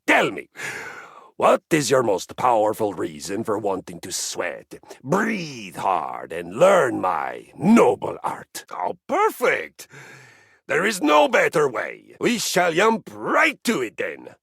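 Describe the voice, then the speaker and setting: A pompous, gravelly exercise machine. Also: male voice, huge pitch swings, slow, dry recording, clean studio sound, full character voice. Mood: rousing. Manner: theatrical, pompous, swings from low murmurs to booming shouts. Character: grandiose male exercise machine, boastful and violence-loving. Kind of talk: video game character acting.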